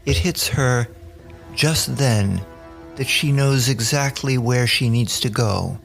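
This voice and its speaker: Guy narrating a story podcast, gravelly, takes his time, music playing behind. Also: mid-range voice, takes it slowly. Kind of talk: scripted audio drama narration. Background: music bed.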